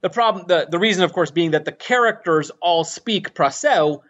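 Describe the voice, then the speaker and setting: Higher-voiced man, thoughtful and self-correcting. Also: normal speed, a bit bright. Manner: thoughtful, many restarts and hedging fillers. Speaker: author and conlanger, interview guest. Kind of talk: casual interview talk. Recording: muffled, dull podcast audio.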